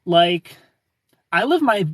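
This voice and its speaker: A man in his thirties hosting a casual livestream, reading viewer comments and greeting them by name in a smooth, laid-back way. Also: sits high, expressive, takes it slowly, a bit bright, dry recording, studio quality. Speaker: livestream host.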